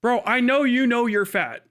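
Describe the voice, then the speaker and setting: A man's voice: extremely high pitch, normal speed, a bit bright. A man hosting a livestream, reacting in casual, profane slang with an incredulous, mocking manner. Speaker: livestream host reacting to a video.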